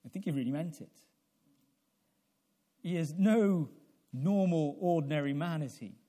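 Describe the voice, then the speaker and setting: Mellow-voiced male preacher in his forties. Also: fairly high pitch. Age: 40s. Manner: deliberate, halting delivery with repeated phrases and rhetorical questions. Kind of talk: evangelical sermon with prayer.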